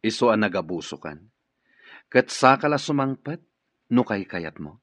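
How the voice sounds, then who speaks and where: A man's voice: fairly low pitch, big pitch swings, moderate pace, fairly bright tone, no echo. A man acting in a radio drama as a stern, commanding boss who has been betrayed. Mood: stern, accusing. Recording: dull muffled radio drama recording.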